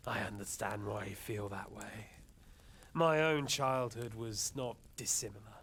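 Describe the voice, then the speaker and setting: A man's voice: mid-range voice, fairly bright tone, slight background noise. An actor playing a smug, menacing nobleman warlord in a video game, mocking his captive with theatrical politeness. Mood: calm menace. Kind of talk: dramatic video game cutscene acting.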